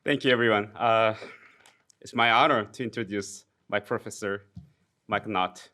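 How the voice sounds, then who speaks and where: Young Korean-accented man introducing a professor from the podium, measured and formal with light self-deprecation. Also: fairly low pitch, steady tone, bright, forceful tone. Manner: reads a formal tribute with occasional self-deprecating jokes, some restarts. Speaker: PhD graduate introducing a speaker. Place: university lecture hall. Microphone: podium microphone.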